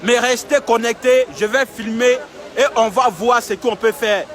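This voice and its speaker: Young male streamer, very high-pitched and insistent, phone mic outdoors, faint crowd behind. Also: fairly even intonation, a little slow, fairly bright tone. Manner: emphatic, repetitive, urging viewers. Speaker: live-streaming vlogger. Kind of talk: impassioned outdoor livestream. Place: outdoors in a city street camp. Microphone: phone held while filming.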